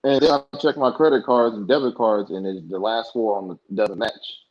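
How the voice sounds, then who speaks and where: Young male podcast guest, low and husky, animated storyteller, muffled lo-fi sound. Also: normal speed. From United States. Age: young adult. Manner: animated, acts out past dialogue in colloquial speech. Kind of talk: casual interview storytelling. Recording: dull lo-fi podcast audio.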